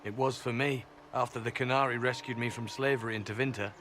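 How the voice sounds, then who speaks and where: A man acts out a disciplined agent in a fantasy game. He speaks in a mid-pitched voice, measured, firm and earnest. Faint noise sits behind the voice. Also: fairly even intonation, close to an everyday voice. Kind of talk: video game dialogue.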